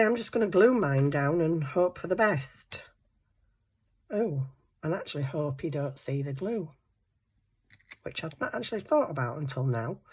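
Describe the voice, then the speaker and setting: A woman with a hoarse, croaky voice, chatting casually and thinking aloud through a crafting tutorial. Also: sits low. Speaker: craft vlogger.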